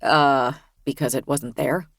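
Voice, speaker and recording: A woman reading a novel aloud, voicing a calm, condescending young man in a slightly lowered voice. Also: unhurried, no echo, clean studio sound, exaggerated voice. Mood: dismissive.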